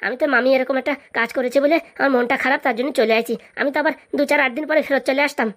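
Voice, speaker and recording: A woman's voice: sits high, fairly even intonation, rapid-fire, lightly acted. A woman voicing a kind, forgiving nephew in a comic cartoon, earnest and reassuring. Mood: eager, warm.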